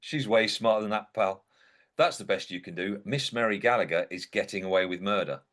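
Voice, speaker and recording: A man's voice: on the low side, fairly bright tone. An actor playing an indignant, sardonic dead young man who narrates a comic stage monologue in a wry, theatrical way.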